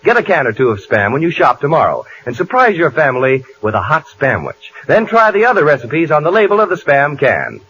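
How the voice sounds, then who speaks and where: A man's voice: fairly high pitch, wildly swooping pitch, a little fast, hardly any pauses, fairly bright tone, a little hiss or hum. A man announcing and playing comedy on 1940s radio in a bouncy, salesman-like manner. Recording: muffled 1940s radio broadcast.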